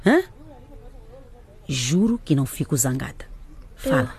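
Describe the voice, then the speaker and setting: A woman's voice: rumbling low, very animated, unhurried, a little mellow, breathy edge, exaggerated voice. An actress playing a stern, suspicious mother who interrogates and scolds her children in a radio drama. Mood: probing. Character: stern, suspicious mother of teenagers, quick to anger.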